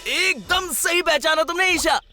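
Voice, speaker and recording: A woman's voice: very high-pitched, unhurried, pushed and bright, soft background music, exaggerated voice. A woman voicing a cheerful, wise and playful magical stone who teaches children in an educational cartoon, encouraging and exclamatory. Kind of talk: animated educational cartoon dialogue.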